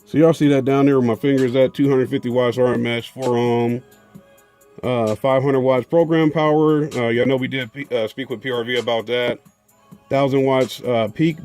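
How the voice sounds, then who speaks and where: A man talks loosely to his viewers, drawling through fillers and restarts as he shows off new car audio gear. His voice sits at an ordinary middle pitch. Faint music plays behind him in what sounds like a garage. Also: darkish tone. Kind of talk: casual unboxing chat.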